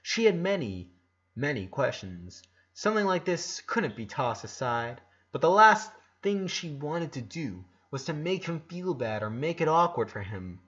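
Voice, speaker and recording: A man's voice: a little high, big pitch swings, measured pace, fairly bright tone, breathy edge, lo-fi sound. A man narrating a story aloud as an amateur audiobook reader.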